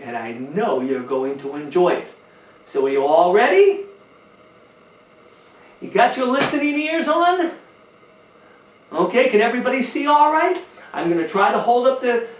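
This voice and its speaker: A man reading a children's story aloud in an animated, exaggerated way, chatting directly to young listeners. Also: high-pitched. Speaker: storyteller, former teacher. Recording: roomy, distant, dull sound.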